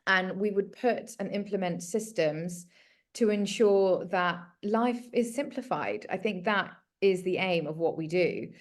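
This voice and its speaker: Animated woman in her thirties. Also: medium pitch, a little slow. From South Africa. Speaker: guest, home organiser. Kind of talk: casual conversation.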